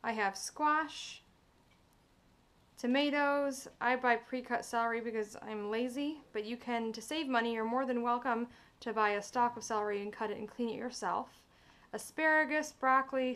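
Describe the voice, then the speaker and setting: A woman's voice: a little high, a bit bright. A young woman talking in a chatty, informal way, giving a casual how-to vlog in her kitchen. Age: young adult. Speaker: vlogger and fitness coach. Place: home kitchen, indoors. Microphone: camera across the counter. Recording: echoey kitchen, away from mic.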